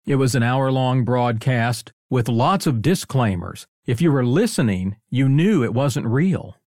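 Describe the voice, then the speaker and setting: A man's voice: mid-range voice, lively intonation, measured pace, darkish tone, dry recording, studio quality. A middle-aged or older man hosting an interview, chatty and conversational, prompting his guest with questions. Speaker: interview host.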